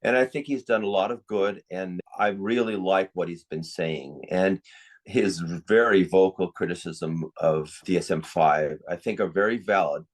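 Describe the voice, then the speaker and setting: A man's voice: deep voice, normal speed. Older man, reflective interview guest. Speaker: psychiatrist interviewee. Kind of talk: conversational interview, giving opinions.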